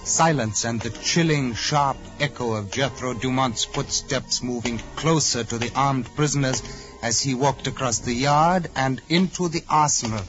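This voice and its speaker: A man acts a solemn, reverent disciple telling a dramatic radio tale. His voice is mid-pitched, with music behind it on a muffled 1940s recording. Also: normal speed, a bit bright, natural voice. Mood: solemn suspense. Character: devoted, solemn male disciple narrating his master's exploits.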